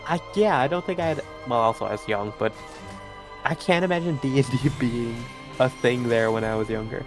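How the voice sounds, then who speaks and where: A man's voice: mid-range voice, moderate pace, over music. A man from Trinidad with a mixed Trinidadian and New York accent, chatting casually on a stream and laughing often. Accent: Trinidadian and New York mix.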